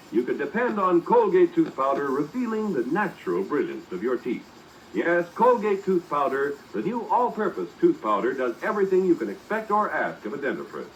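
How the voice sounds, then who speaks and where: A man announcing a 1940s radio commercial in a polished, emphatic salesman's style. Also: on the high side. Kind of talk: 1940s radio advertising read. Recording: slightly noisy old radio broadcast.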